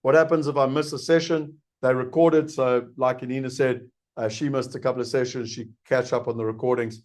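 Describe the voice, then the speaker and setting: A middle-aged South African man speaks earnestly and persuasively, in a medium-pitched voice. He poses listeners' questions and answers them himself, like a live webinar host making a sales pitch. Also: little pitch movement, a little fast, a little mellow. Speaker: investment program host.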